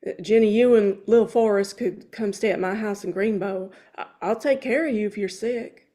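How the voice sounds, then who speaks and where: Woman reading as a mellow, halting, simple-hearted man. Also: medium pitch, natural voice. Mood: worried, caring. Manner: halting, stammering delivery. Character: simple, earnest, tender-hearted grown man. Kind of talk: informal screenplay table read.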